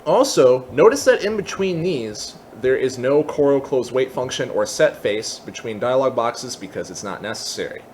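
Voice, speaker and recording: A man with a mid-pitched voice talks through a software tutorial in a casual, explanatory way. He works it out aloud as he goes, unscripted. There is faint noise behind his voice. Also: lively intonation, moderate pace, hardly any pauses. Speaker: tutorial presenter.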